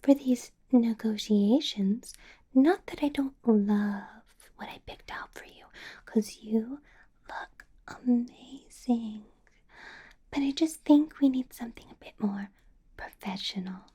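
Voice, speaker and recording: A woman voicing a doting, possessive vampire boss in an ASMR roleplay, in a soft breathy whisper with a coaxing, controlling sweetness. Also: fairly high pitch, takes it slowly, heavily acted. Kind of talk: ASMR roleplay, close whispered personal attention.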